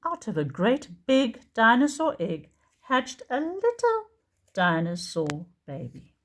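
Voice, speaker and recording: A woman teacher reading a picture book aloud for young children in an expressive storytelling manner. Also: fairly high pitch, slow. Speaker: preschool teacher.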